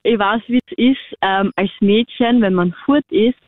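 A woman's voice: medium pitch, a little slow, no room sound. Young woman, hesitant, lots of fillers, dry phone-like sound. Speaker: guest. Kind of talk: casual chat.

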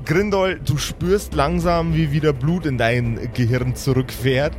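A man's voice: a little high, measured pace, darkish tone. A man acting as game master, improvising narration and chatting casually with his players. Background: prominent background music.

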